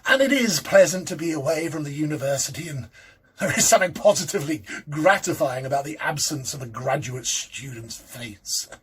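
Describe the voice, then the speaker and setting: An actor in a dramatic reading, playing a lonely, timid, middle-aged academic who muses in a hesitant, fussy, precise way. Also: male voice, a little high, animated, fairly quick, a bit bright, slightly rough, heavily acted. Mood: wistful, resigned. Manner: hesitant, musing, theatrical, precise wording. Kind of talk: performed dramatic reading of a classic ghost story, first-person monologue.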